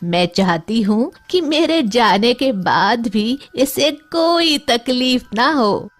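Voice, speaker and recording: Woman acting a slow, loving old village mother. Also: a little high, lively intonation, background noise, voice pushed up. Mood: firm, explaining. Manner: slow, drawn-out, instructive.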